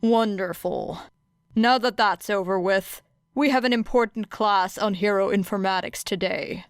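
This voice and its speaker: Woman as a slow, deadpan male teacher. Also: medium pitch, smooth, dry recording, studio quality, lightly acted. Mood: dry, curt. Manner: deadpan, curt. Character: stern, weary, deadpan adult male teacher.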